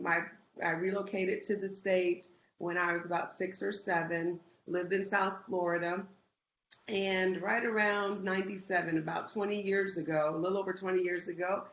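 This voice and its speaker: A woman from the Bahamas, a realtor guest, answering interview questions in a measured, slightly hesitant way. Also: on the low side, fairly even intonation, fairly bright tone, roomy sound, lo-fi sound, a little hiss or hum.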